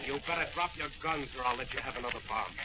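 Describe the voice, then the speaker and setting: An actor playing a bold, righteous masked crime-fighter in a declamatory 1940s radio style. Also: male voice, mid-range voice, fast talker, lightly acted. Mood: taunting. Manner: declamatory, taunting. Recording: dull, very noisy old radio recording.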